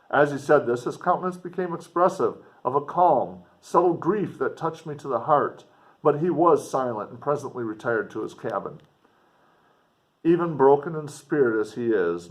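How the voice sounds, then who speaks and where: Guy reading an old novel out loud like a teacher, medium pitch, leans on key words, pauses for effect. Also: low-quality recording. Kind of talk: expressive literary read-aloud with teaching asides.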